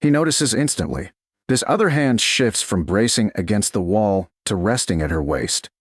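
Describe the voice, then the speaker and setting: A man narrating a scripted romance audiobook in short, deliberate, dramatic phrases. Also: on the low side, lively intonation, breathy edge, dry recording.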